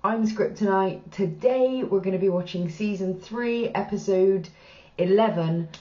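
A frank, chatty woman in her thirties with a Bristolian accent talks through her thoughts on a show, swearing now and then. Her voice sits fairly low. The room around her rings a little, and the sound is slightly dull. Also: darkish tone, reverberant. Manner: chatty and frank, casual swearing, gestures while talking. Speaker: reaction channel host. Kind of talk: casual reaction commentary. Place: small plain room indoors.

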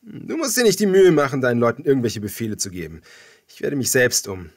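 A man's voice: medium pitch, sing-song, fast, pitched above the performer's own voice. A man voicing a cocky, lewd young gang leader in an audiobook, with a taunting, theatrical manner. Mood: cocky, commanding.

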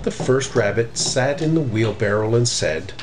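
Man, middle-aged, children's storybook reader, unhurried, animated intonation, music behind. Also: mid-range voice. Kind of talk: children's story read aloud.

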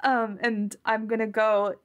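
A woman's voice: on the high side, flat delivery, measured pace, clear, resonant tone, lo-fi sound. A woman playing a tabletop game, casually improvising and describing what her character does. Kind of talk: casual improvised roleplay narration.

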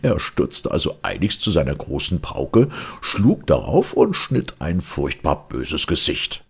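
A man reading a fairy tale aloud as an audiobook, in a theatrical, expressive storytelling manner. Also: extremely low pitch, a little slow, gravelly, slightly rough. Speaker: audiobook reader.

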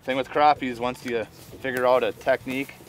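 Man, fishing guide, mid-pitched, laid-back, short remarks, outdoors on a lake, faint music. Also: flat delivery, fairly quick. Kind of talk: casual chat while fishing. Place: open boat on a lake, outdoors.

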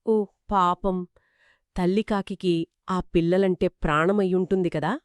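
A woman's voice: lowish voice, steady tone, a little slow, clear, resonant tone, dry recording, clean studio sound. A woman telling a children's story conversationally, engaged and dramatic as she speaks to young listeners.

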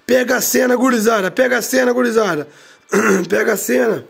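Hoarse rio guy hyping his bus game stream, husky voice, slangy, drops low on every tag word. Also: a little fast. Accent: Brazilian Portuguese, Rio de Janeiro. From Brazil. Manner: slangy, drags out catchphrases, tag words drop low and quiet. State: hoarse from a cold. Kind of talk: hyped gameplay commentary.

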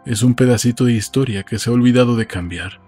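A man playing an uneasy, wistful man in an audiobook, speaking in a slow, subdued way. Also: medium pitch, a little mellow, faint music behind, lightly acted. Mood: pensive. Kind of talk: audiobook dialogue acting.

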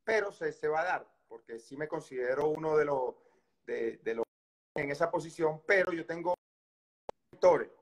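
Venezuelan man telling stories, relaxed. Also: a little high, normal speed. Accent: Venezuelan Spanish. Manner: relaxed storytelling with many fillers and restarts. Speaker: guest, baseball coach. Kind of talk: casual interview storytelling. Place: indoor room by a window. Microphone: video call webcam.

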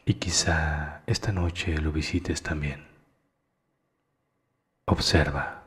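Super deep male voice, really slow n hushed, guided meditation style, lots of pauses. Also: steady tone. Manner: hushed, deliberate, with long pauses.

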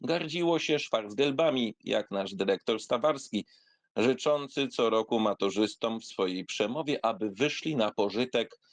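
A man giving a theatrical reading of a literary memoir, in an expressive, wry, conversational storytelling manner. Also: medium pitch, no echo. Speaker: narrator reading a literary essay aloud.

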